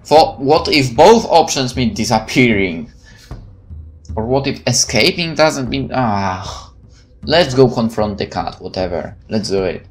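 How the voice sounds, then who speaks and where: A man's voice: medium pitch, lively intonation, measured pace, faint music behind. Man reading a visual novel aloud. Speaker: gameplay streamer.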